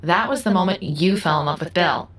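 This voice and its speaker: A woman's voice: lowish voice, a little fast, a bit bright. A woman acting in an audio drama as an enigmatic, all-knowing young relative who speaks formally and precisely in philosophical riddles. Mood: serene, probing.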